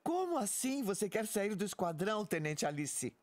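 A woman's voice: mid-range voice, big pitch swings, moderate pace, darkish tone, lightly acted. A woman playing a commanding, persuasive military commander in an audio drama, authoritative and insistent. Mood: firm, persuasive.

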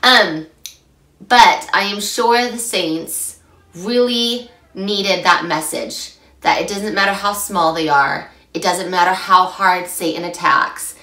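Hoarse young woman teaching scripture. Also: fairly low pitch, takes it slowly. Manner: chatty and animated, gesturing a lot. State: hoarse after illness. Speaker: scripture study host. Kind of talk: casual scripture lesson to camera. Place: small home room. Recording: echoey room, mic at a distance.

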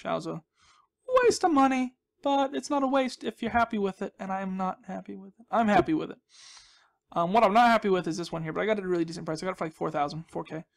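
A man's voice: sits high, gravelly. A man hosting a gaming channel with casual, rambling commentary, playful and goofy, often repeating his words and phrases.

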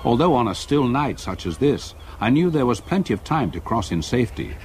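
An older man with an English accent, an actor playing a grave retired solicitor narrating his haunted memories in a radio drama, measured and reflective. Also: mid-range voice, sing-song, a little mellow, a little hiss or hum, close to an everyday voice. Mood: brooding. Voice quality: husky. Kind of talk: literary first-person radio drama narration.

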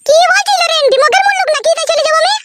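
Piercing, squeaky cartoon second wife. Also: female voice, rapid-fire, a bit bright, a little hiss or hum. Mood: indignant. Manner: taunting, shrieking, brazen. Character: cheeky, defiant young second wife, sharp-tongued. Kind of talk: comedy cartoon dub, quarrelling.

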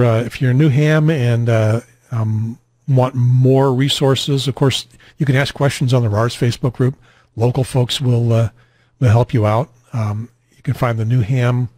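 Halting older American man, dry close sound. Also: medium pitch, darkish tone, no room sound. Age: 60s. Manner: halting, rambling. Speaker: radio net host. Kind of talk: casual radio chat. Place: small cluttered home radio room. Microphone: close boom studio mic, headset on.

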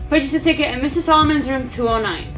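A woman's voice: high-pitched, quick pace, fairly bright tone, faint music behind. A teenage girl, a student news anchor, reading school announcements steadily from a script.

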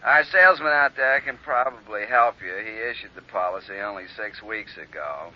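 A man's voice: on the low side, moderate pace. An actor playing a complaining, asthmatic insurance company man on a telephone in a 1950s radio drama, talking in clipped phrases. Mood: businesslike. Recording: muffled 1950s radio phone call.

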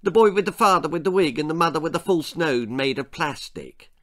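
Guy doing a yappy posh little boy, high voice all over the place, never stops talking. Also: expressive, fairly quick, lightly acted. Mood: eager chatter. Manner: incessant, inane, interrupting. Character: chattering, oblivious young upper-class boy who never stops.

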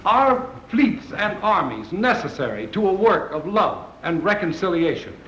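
Impassioned colonial statesman, higher-pitched, declamatory, 1930s film drama with dull sound. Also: sing-song, fairly bright tone, echoey room, slight background noise, heavily acted. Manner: declamatory, measured, formal. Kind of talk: 1930s film acting, formal oratory.

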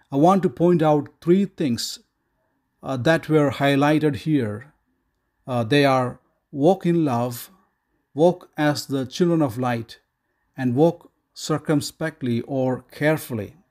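Measured middle-aged man teaching scripture. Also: fairly high pitch, darkish tone. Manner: measured, reads scripture aloud, frequent "uh" fillers. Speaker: Bible teacher. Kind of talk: scripted Bible study teaching.

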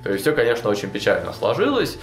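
A man's voice: medium pitch, quick pace. A young man in a suit jacket casually telling a long story, full of fillers, seated in a studio. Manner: animated, many fillers like "вот" and "ну". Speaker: storyteller guest. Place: studio with chalkboard wall. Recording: roomy studio with faint music.

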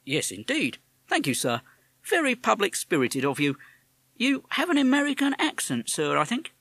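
A man's voice: high voice, huge pitch swings, normal speed, gravelly, a bit bright, dry recording, voice pushed up, exaggerated voice. A man voicing a British police officer who is formal, pompous and sarcastic. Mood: prim.

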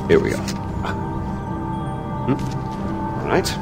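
A man playing a middle-aged, theatrically polite and volatile dictator in a video game, British accent, precise drawn-out phrasing and mocking courtesy. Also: close to an everyday voice. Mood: smooth, quietly menacing. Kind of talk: video game cinematic acting. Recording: loud music behind.

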